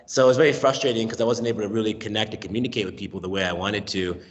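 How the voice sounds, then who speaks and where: An American man in his thirties, a language teacher talking as an interview guest, lively and given to acting out voices in his stories. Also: on the low side, very fast, in a large hall, dull audio. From United States. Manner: animated, acts out voices and accents in his stories. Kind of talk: casual interview talk. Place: remote call from Mexico.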